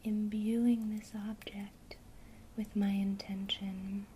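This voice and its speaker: A woman teaching a witchcraft lesson in a soft, gentle ASMR whisper. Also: medium pitch, little pitch movement, slow, a little hiss or hum.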